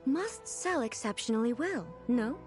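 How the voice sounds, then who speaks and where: A high-pitched, composed female ruler from a video game. She speaks formally and with dignity, curious yet blunt, with very varied intonation. Faint music plays behind her. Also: animated, a little slow. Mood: pleased, composed.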